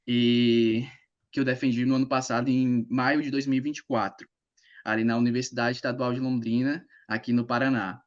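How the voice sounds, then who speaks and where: Young male presenter, Brazilian accent, average pitch, informal talk, muffled online-call sound. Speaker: presenter, journalism graduate. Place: video call. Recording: dull lo-fi internet call.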